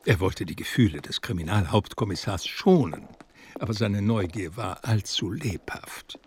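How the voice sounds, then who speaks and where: A man's voice: on the low side, lively intonation, normal speed, darkish tone, slight background noise. A man reading literary narration for a radio drama. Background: music.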